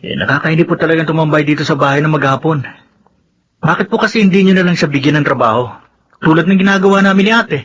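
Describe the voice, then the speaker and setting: A man's voice: on the high side, fast talker, a bit bright. A man acting in a Filipino radio drama as a resentful young adult son, polite but sulky.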